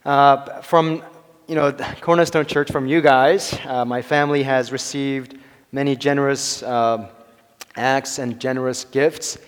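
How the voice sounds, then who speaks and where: A man in his forties preaching a sermon to his church, halting with many fillers and restarts and chuckling between phrases. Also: medium pitch, steady tone, clean tone. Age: 40s. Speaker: pastor. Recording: long hall reverb.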